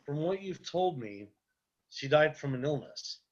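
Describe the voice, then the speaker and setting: A man playing a composed, probing support-group leader with a husky voice, in a dramatic script read-through. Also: on the high side, animated, measured pace, highs cut off.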